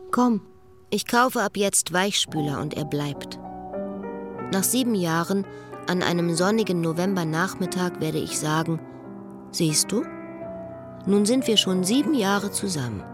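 A wistful woman in a german radio drama, lowish voice, bittersweet and measured, faint music behind. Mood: curious, intimate. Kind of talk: radio play acting and inner monologue.

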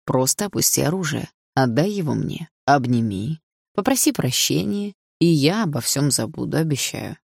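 A woman's voice: extremely low pitch, moderate pace, gravelly, a little mellow, slightly rough, dry recording, clean studio sound, heavily acted. A woman voicing a menacing, obsessive and smug husband with an insinuating delivery in an audiobook. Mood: coaxing, taunting. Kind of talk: audiobook character dialogue.

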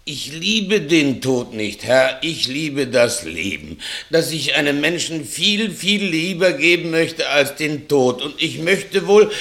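An actor playing a fearful, conscience-ridden servant and reluctant villain, plaintive and deferential, in a 1960s radio play. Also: male voice, fairly low pitch, creaky, fairly bright tone, exaggerated voice. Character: timid, conscience-ridden male servant, reluctant thief and killer.

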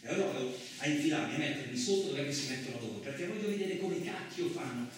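A man acting in a radio play as a gruff, crude truck driver who tells his story in blustering, rambling, colloquial speech. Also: medium pitch, expressive, quick pace, lightly acted. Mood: grumbling. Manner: blustering, rambling, conversational, mildly swearing. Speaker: actor in a solo radio play. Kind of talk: colloquial theatrical radio monologue. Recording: roomy, echoing distant miking with faint noise.

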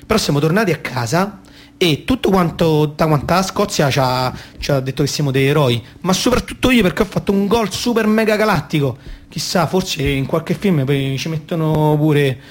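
A man's voice: fairly high pitch, moderate pace, lightly acted. A man playing a naive, boastful football-mad boy in a stage play, rambling colloquially with a Roman accent. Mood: boastful, eager. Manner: childlike, rambling, eager, easily distracted. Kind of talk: colloquial stage monologue and comic dialogue.

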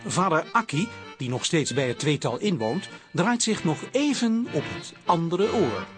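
An actor as the genial storytelling narrator introducing a radio comedy, with accordion music behind. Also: male voice, on the high side, big pitch swings, unhurried. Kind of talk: scripted radio drama narration.

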